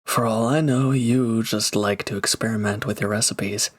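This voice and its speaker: A man's voice: lowish voice, fairly even intonation, moderate pace, natural voice. A man playing a reformed villain turned mercenary in an intimate roleplay audio drama, speaking casually and hesitantly with awkward, earnest gratitude. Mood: casual, teasing.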